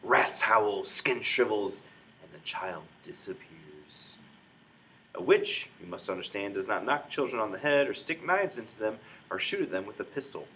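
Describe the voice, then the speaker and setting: A man's voice: lowish voice, expressive, normal speed, pushed and bright, poor audio. A man reading a children's book aloud in a dramatic, emphatic storytelling manner. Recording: clear background noise, room ambience.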